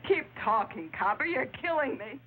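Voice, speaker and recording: A woman's voice: sits high, very animated, moderate pace, narrow, tinny audio. An actress playing a sassy, sardonic undercover policewoman, defiant and sparring, in old noir radio style on a hissy recording. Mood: scornful. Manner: sarcastic, sparring. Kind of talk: mid-century film noir radio acting.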